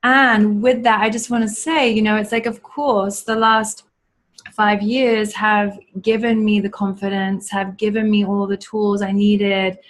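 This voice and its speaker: A woman guest on a video call, telling a personal story in an earnest, reflective, spiritual way with many pauses and fillers. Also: mid-range voice, flat delivery. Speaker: guest, yoga teacher and school founder. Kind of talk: casual interview storytelling.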